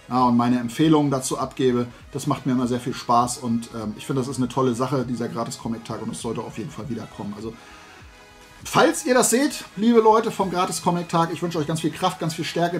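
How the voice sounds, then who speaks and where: A middle-aged man hosting a show, chatting casually and colloquially to camera in a rambling way. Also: medium pitch. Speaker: host of a toy and comics show. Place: indoor room lined with collectibles.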